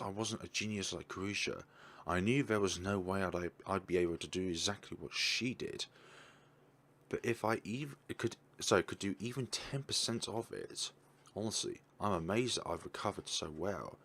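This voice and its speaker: Deep-voiced man reading game text. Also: fairly bright tone. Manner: stumbling reads with self-corrections. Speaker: gamer reading a visual novel aloud. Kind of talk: reading game text aloud with casual asides.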